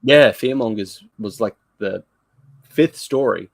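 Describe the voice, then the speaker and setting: A man's voice: mid-range voice, slow, gravelly, no echo. A man co-hosting a casual review chat, talking in a rambling, informal and repetitive way. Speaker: show co-host.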